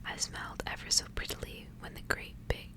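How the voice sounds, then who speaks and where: A woman reading a literary tale aloud for ASMR in a hushed, unvoiced whisper. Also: fairly quick. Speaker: ASMR reader.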